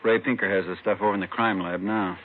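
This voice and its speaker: A man's voice: on the low side, steady tone, fast, close to an everyday voice. A man playing a businesslike police detective, speaking in clipped, matter-of-fact phrases in an old radio crime drama. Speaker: supporting actor. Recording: tinny old radio recording, faint noise.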